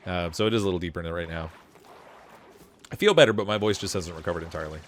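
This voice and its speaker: A man streaming a game live, thinking aloud and answering chat, his voice still lower than usual from a cold, with frequent laughs. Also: very fast, slight background noise. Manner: chatty, laughs often, thinks aloud with restarts. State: voice lower from getting over a cold. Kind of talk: live gameplay commentary.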